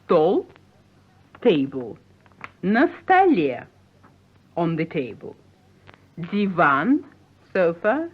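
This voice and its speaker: A woman's voice: on the low side, breathy edge. A woman giving a scripted 1950s radio language lesson in a precise, carefully enunciated teaching manner. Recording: tinny, phone-like 1950s radio recording.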